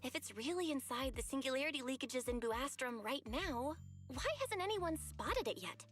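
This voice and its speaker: Young practical heroine for a game: high, animated, informal and inquisitive. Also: female voice, normal speed, soft background music. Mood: puzzled. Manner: informal, questioning. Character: young female investigator, casual, questioning and practical. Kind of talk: video game voice acting.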